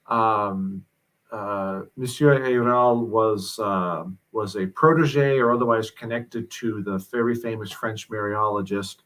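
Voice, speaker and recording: A man who is a guest on a talk show, explaining things thoughtfully with many pauses and fillers. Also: lowish voice, fairly bright tone, poor audio. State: mild headache. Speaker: guest commentator. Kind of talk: casual discussion.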